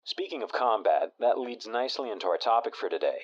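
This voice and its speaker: Man as a measured radio talk host, low voice, muffled lo-fi sound. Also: expressive, husky. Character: even-tempered male radio talk show host.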